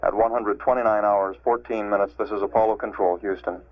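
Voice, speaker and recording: An American man speaks as a mission control announcer, in a low voice with very little rise and fall. His delivery is official and matter-of-fact, broken by frequent pauses. It comes through a narrow, phone-like archival radio feed. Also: steady tone. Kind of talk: measured live spaceflight status commentary.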